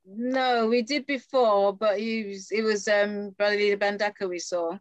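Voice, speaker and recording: A woman's voice: mid-range voice, fairly even intonation, normal speed, dry recording. A woman hosting a radio show over a video call, giving brief encouraging replies to her guest.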